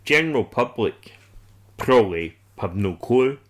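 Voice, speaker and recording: A man's voice: mid-range voice, expressive, measured pace, fairly bright tone. A man co-hosting a podcast, giving insistent opinions in a casual discussion of a television series. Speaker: podcast co-host.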